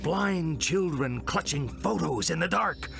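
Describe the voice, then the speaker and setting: A man's voice: a little high, lively intonation, unhurried, gravelly, a bit bright, full character voice. An actor playing a provocative, impatient male psychiatrist in a video game, theatrical and pointed, asking rhetorical questions. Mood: exasperated, scornful. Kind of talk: dramatic video game acting. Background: music.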